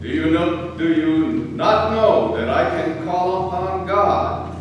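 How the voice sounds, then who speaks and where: A man acting a grave, sorrowful holy teacher facing his betrayers in a scripture drama. The voice is high for a man and very slow, declaimed solemnly, ringing through a large echoing church. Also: highs cut off. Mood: stern, commanding. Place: large church during a service. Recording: very echoey church, distant mic, noisy.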